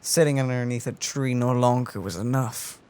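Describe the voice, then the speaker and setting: A man's voice: mid-range voice, moderate pace, noisy background. A man co-hosting a gaming reaction with casual, dry, wry asides. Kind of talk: casual chat and commentary. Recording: faint game music behind. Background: game music.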